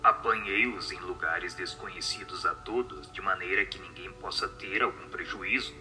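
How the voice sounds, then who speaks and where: A man's voice: deep voice, normal speed, sharp tone, faint music behind, voice pushed down. A man voicing a solemn, assured spirit who answers questions in a radio drama.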